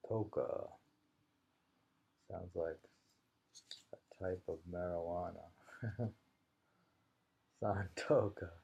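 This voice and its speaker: Deep, gravelly, slow-paced man musing over poetry. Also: steady tone, mellow, lo-fi sound. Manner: musing, repeats each poem. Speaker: reader and host of a poetry reading. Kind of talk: reading haiku aloud with casual commentary.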